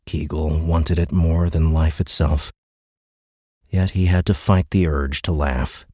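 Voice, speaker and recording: A man's voice: rumbling low, little pitch movement, slow, mellow, no room sound, clean studio sound. A man reading a science fiction audiobook in scripted dramatic narration.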